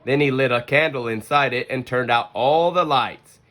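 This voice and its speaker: A storybook reader giving expressive scripted narration for children. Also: mid-range voice, moderate pace.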